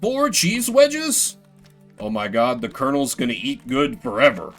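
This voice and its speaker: A man's voice: medium pitch, very animated, a little slow, faint music behind. A man giving casual, rambling commentary as he streams a video game, with playful mock-grandiose asides. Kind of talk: casual gameplay commentary, voicing game text.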